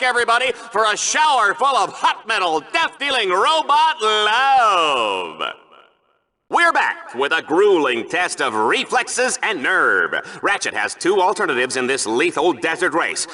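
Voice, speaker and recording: A man's voice: sits high, pushed and bright, heavily acted. A man playing a smarmy, over-the-top host commentating a sci-fi bloodsport show in a video game, with a showy announcer delivery.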